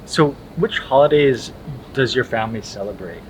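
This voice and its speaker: A man's voice: medium pitch, moderate pace. A young man from Hawaii hosting a casual conversation in an easygoing manner, laughing often. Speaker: host. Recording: noisy background.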